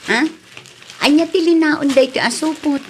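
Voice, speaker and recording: A feisty, indignant young neighbor woman, acted by a woman in an Ilocano comedy radio drama. She has a high voice, an Ilocano Filipino accent and a principled, stand-her-ground manner. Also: unhurried, a little hiss or hum. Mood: guarded.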